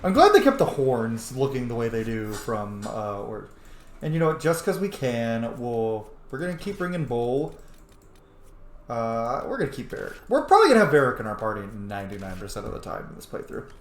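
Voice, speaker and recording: A man giving casual, chatty, sweary commentary as he plays a video game, thinking out loud. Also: mid-range voice, sing-song, fairly quick. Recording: echoey room, faint background noise.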